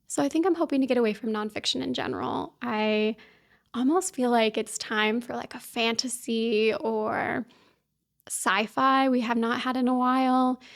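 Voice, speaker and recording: A woman from talks straight to her viewers in a casual book vlog. Her voice sits a touch on the high side, and her manner is chatty and upbeat, full of little asides. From Kansas City, US. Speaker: book vlogger. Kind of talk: casual chat to camera.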